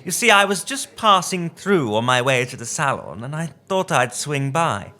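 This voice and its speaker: A man voicing a flirtatious wealthy woman with a smooth, coaxing manner in an audio drama. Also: a little high, very animated, normal speed, bright, forceful tone, heavily acted.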